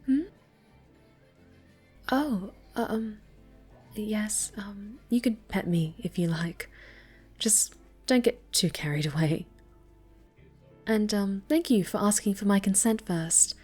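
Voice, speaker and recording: Casting: woman to play snobby, bratty cat girl for intimate ASMR roleplay; unhurried, soft and breathy, coy, stammers when flustered. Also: medium pitch, lively intonation, soft background music. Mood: shy, soft. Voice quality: soft, often breathy and whispered. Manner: halting, stammering, coy, haughty.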